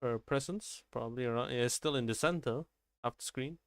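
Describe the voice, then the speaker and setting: A man's voice: mid-range voice, a little fast, clean tone, dry recording, studio quality. A man livestreaming a game, chatting casually and informally, often thinking aloud and repeating his phrases. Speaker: game streamer. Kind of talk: casual livestream gameplay chat.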